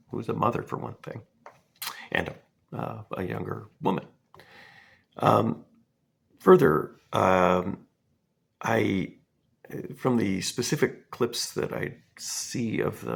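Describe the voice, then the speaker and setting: A man in his fifties, hoarse from a cold, giving a halting, earnest commentary with many pauses and fillers. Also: sits low, a bit bright. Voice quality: gravelly and husky. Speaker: response video maker. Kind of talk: casual spoken commentary.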